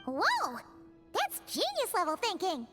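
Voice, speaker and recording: Tiny squeaky game fairy sidekick, super high and bubbly, swoops everywhere, music behind. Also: female voice, wildly swooping pitch, takes it slowly, full character voice. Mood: excitable. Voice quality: squeaky, childlike. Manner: bubbly, emphatic, speaks of herself in third person. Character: tiny cheerful childlike floating fairy companion, chatty, excitable, loyal. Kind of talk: video game voice acting.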